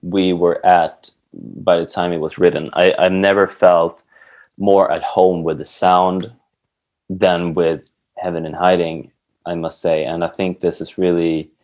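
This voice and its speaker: Male interview guest, low-pitched, casual musician talk with hesitant word-searching. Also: level tone, a little slow, a little mellow. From Sweden. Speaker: band guitarist, podcast guest.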